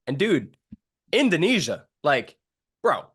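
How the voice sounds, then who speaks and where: A man's voice: on the high side, big pitch swings, slow pace, fairly bright tone, husky. A man hosting a casual sports interview, asking informal, rambling questions full of slang. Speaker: sports show host interviewing a fighter.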